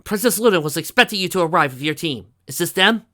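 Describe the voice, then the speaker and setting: A reader voicing a dutiful female bat-winged pony guard with a crisp official manner, in a fantasy story. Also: mid-range voice, expressive, quick pace, sharp tone, voice pushed up. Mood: businesslike.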